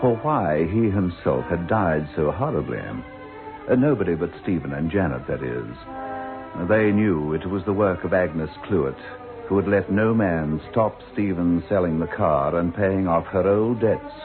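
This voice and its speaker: A man's voice: low-pitched, expressive, a little slow. A man narrating a scripted radio ghost story as an ominous storyteller. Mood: ominous, solemn. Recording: tinny old radio recording. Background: music.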